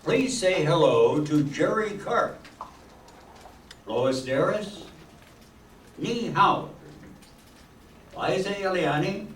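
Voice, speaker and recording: A man's voice: sits high, lively intonation, takes it slowly, long pauses, a bit bright, full character voice. A man playing a genial 1940s radio show host, formally announcing and narrating a live stage performance. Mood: welcoming showman. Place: stage of an auditorium with live audience. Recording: echoey hall from far off, faint noise.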